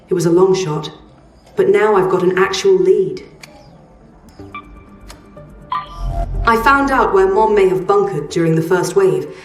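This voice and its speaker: A woman's voice: mid-range voice, roomy sound, recorded from a distance, lightly acted. An actress playing an earnest, hopeful woman in a sci-fi video game, speaking in a candid, conversational way. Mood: wistful, hesitant. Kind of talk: video game cutscene acting.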